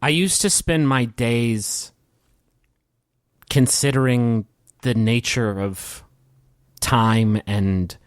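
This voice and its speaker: Guy playing a tired cosmic villain guy in a tabletop game, broody halting speeches about how hopeless it all is, dry close sound. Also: medium pitch, no echo. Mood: brooding, grandiose. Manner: philosophical, halting, grandiose monologues.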